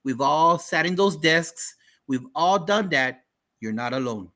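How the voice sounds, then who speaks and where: A man co-hosting a talk show in an upbeat, energetic, punchy and exclamatory way. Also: on the high side, unhurried.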